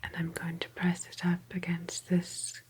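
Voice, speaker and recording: A woman playing a meticulous, prim sci-fi office agent in an ASMR roleplay, whispering breathily in an unhurried, hesitant, officious but polite manner. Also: lowish voice, monotone, darkish tone, close to an everyday voice. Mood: calm, methodical. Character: slow, meticulous, prim female time-travel office agent.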